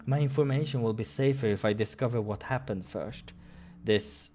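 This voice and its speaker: A man hosting a playthrough, reading a visual novel aloud in an expressive way with casual asides. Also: mid-range voice, moderate pace, slight background noise. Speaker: let's-play host.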